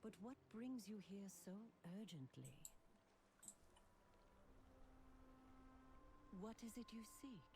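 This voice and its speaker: A woman voicing a regal, solemn dragon queen in a fantasy video game, speaking in a formal, measured, benevolent way. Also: medium pitch, very animated, background noise. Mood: gracious. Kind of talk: scripted fantasy game dialogue. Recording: loud orchestral game music behind. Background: loud music.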